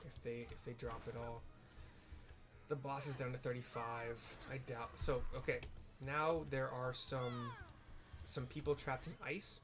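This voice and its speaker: A man's voice: mid-range voice, flat delivery, measured pace. A man giving casual, rambling gameplay commentary, explaining and describing what happens as he plays. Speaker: video game streamer and narrator.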